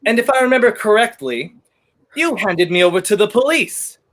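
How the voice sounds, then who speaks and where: Man acting debonair wrongly accused gent, wry, theatrical, clipped, animated intonation, 1930s radio play. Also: high-pitched, moderate pace. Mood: breezy, teasing. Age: young adult.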